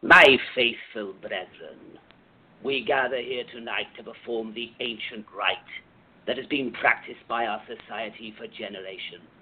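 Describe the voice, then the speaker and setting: A man's voice: mid-range voice, a little hiss or hum, lightly acted. A man playing the solemn, grandiose president of a sinister secret society in a radio play, speaking with ceremonial pomp. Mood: grandly solemn. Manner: ceremonial, pompous, measured. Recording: thin, phone-like band-limited audio.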